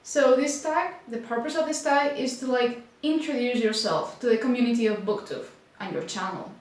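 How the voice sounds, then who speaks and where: Spanish-accented woman in an echoey room. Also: fairly high pitch. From Spain. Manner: unhurried, many filler likes, slightly unsure. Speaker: booktuber. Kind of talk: casual vlog to camera.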